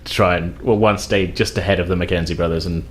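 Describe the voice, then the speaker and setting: A man's voice: low voice, fairly even intonation, fast, a little hiss or hum. A man playing a tabletop role-playing game, telling his character's story casually in a hesitant, rambling way.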